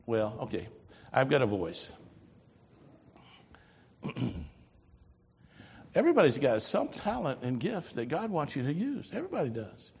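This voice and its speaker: Older man, pastor, sermon, husky, animated pitch, long church reverb. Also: a little slow, long pauses. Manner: lively preaching, swings from loud to soft.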